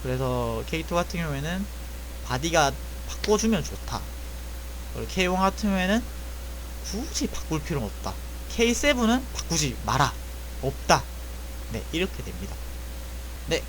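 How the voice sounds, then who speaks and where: A man's voice: a little high, very animated, normal speed, slight background noise, rough recording. A young man casually explaining a repair job, thinking aloud and correcting himself as he goes. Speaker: airsoft gun technician. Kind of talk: casual spoken tutorial.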